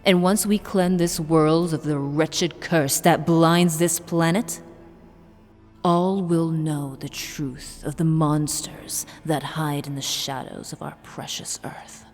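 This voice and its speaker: A menacing female leader of a secret order, played by a woman, speaks low and very slowly. Her delivery is imperious and solemn, audio-drama style, with long hall reverb around it. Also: steady tone, darkish tone. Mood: fierce, commanding. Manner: measured, imperious. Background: eerie horror music.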